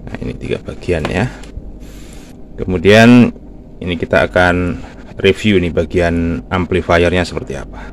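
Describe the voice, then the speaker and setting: A man's voice: deep voice, unhurried, long pauses, a little hiss or hum. A man hosting his own channel, talking casually and loosely while he shows a repair step by step. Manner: relaxed, chatty, with many fillers like "nah" and "nih". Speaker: YouTube channel host. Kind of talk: casual hands-on repair demonstration.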